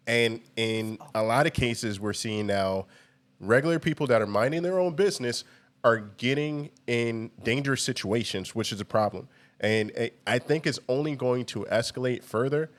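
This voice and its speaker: Man, co-host, medium pitch, earnest, opinionated, casual podcast. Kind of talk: casual chat.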